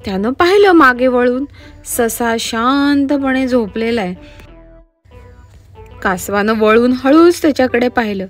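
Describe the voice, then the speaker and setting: A woman narrating scripted moral stories for children in an expressive way, speaking directly to them. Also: a little high, a little slow, long pauses. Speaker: children's storyteller. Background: background music.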